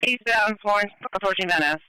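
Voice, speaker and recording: A male police dispatcher with a high, crisp voice relays pursuit updates over the radio. He is clipped and procedural, repeating reports at a quick pace, and the sound is dry. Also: sharp tone, no room sound, poor audio. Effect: police radio transmission.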